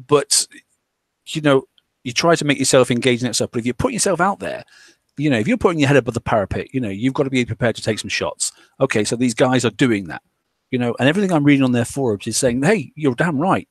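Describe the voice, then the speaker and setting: A man talking loosely in a relaxed group discussion. He rambles, circles back and stacks up fillers and restarts. His voice sits at an ordinary male pitch on a dry, close microphone. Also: dry recording. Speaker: podcast host.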